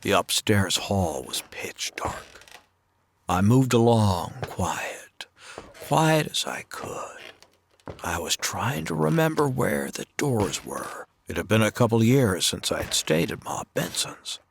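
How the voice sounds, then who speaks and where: A man's voice: on the low side, big pitch swings, creaky, slight background noise, heavily acted. A man doing a drawling, easygoing cowboy. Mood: whispered narration. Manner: drawling, measured, patient cowboy talk; whispered narration. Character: easygoing, soft-spoken Old West drifter, a man of justice. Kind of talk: parody of old western radio drama.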